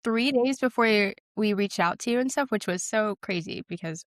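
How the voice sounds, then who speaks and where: Young woman podcast cohost, normal pitch, chatty and informal, no room echo. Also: normal speed, clean studio sound. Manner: informal, conversational. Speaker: podcast co-host. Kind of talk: casual podcast chat.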